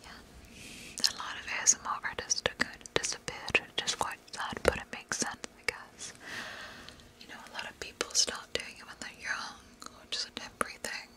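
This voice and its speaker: A young woman whispering softly as she chats with viewers of her live stream. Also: normal speed, rough recording. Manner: soft unvoiced whisper throughout. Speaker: ASMR creator reading live chat.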